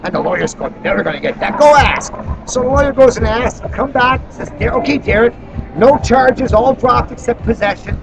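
A man hosting an informal on-the-spot interview, telling stories in an animated way and acting out quoted dialogue. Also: very high-pitched, a little fast, creaky, noisy background. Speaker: interviewer and activist introducing a guest. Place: busy expo venue. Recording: music behind the voice. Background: music.

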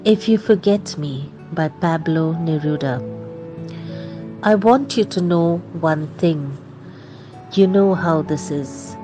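A woman's voice: deep voice, takes it slowly. A woman, reciting a poem as a scripted reading. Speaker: poem reader. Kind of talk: slow poetry recitation. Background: music.

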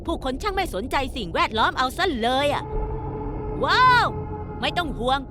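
A woman's voice: sits high, very animated, normal speed. A woman voicing a cheerful robot bus hero in a dubbed cartoon, using an upbeat delivery and a cute catchphrase. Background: cartoon background music.

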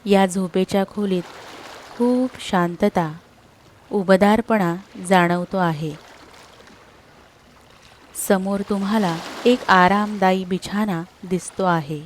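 A woman narrating a scripted sleep meditation in a soothing, unhurried manner, leaving long pauses between short phrases. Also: mid-range voice, a little hiss or hum.

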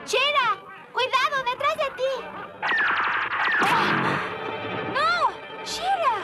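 A woman's voice: very high-pitched, slow, over music. A woman with a Latin American Spanish accent voicing an earnest, brave little village girl in a dramatic cartoon dub. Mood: alarmed, urgent. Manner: earnest, urgent. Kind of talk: dramatic dubbed cartoon acting.

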